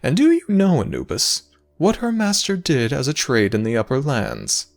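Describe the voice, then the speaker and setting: A man playing an urbane, theatrical storytelling god in an audio roleplay, speaking grandly and deliberately with dramatic pauses. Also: mid-range voice, wildly swooping pitch, creaky. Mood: lofty, wistful.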